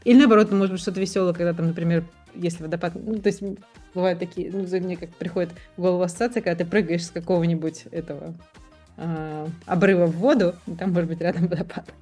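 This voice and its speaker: A woman's voice: on the low side, fairly even intonation, fast talker, warm tone, faint music behind. A woman in a casual discussion, thinking aloud in a hesitant, reflective way and often rephrasing herself. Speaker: podcast participant.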